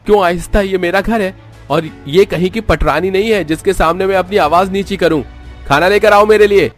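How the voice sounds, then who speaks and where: A man playing a short-tempered, domineering husband who scolds loudly over background music. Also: high voice, fairly quick, voice pushed up, close to an everyday voice. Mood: angry, shouting. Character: harsh, short-tempered husband shouting at his wife.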